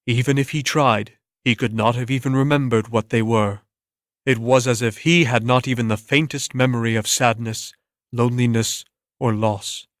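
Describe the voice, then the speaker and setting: A man reading a scripted story as narrator, in a measured way with dramatic pauses. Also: mid-range voice, a bit bright, dry recording, clean studio sound.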